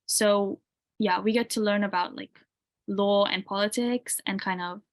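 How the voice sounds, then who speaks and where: A woman's voice: medium pitch, measured pace. Young woman student, chatty with fillers. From UK, grew up in Tanzania. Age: 20s. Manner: relaxed, many "like" and "um" fillers. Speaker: university student guest. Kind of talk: casual interview answers.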